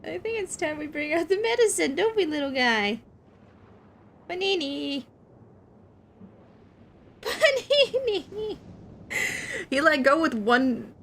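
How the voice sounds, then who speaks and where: A Dutch woman gives casual, expressive commentary on a game stream. Her voice is very high and swings freely up and down, at an unhurried pace. She sounds playful throughout. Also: a little hiss or hum. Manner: playful, expressive, reacting aloud. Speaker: VTuber streamer playing a video game. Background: soft game music.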